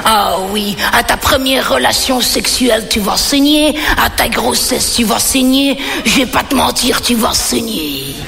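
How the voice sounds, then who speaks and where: A woman comedian voicing a blunt, dramatic Belgian neighbour who repeats her warnings in a drawn-out, ominous way. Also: a little high, lively intonation, creaky, heavily acted. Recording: hall reverb with music behind.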